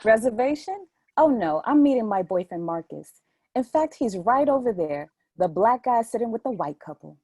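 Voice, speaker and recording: Actress doing sassy young woman, taunting fake-sweet girlfriend, husky and swoopy, stage play reading. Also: on the high side, sing-song, normal speed, warm tone, dry recording, clean studio sound, exaggerated voice. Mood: mock sweet. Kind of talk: readers theatre play reading.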